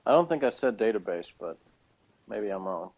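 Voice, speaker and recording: A man's voice: lowish voice, animated, moderate pace, darkish tone, breathy edge, highs cut off. A man co-hosting a review show in casual chat, with a flippant, joking way of talking.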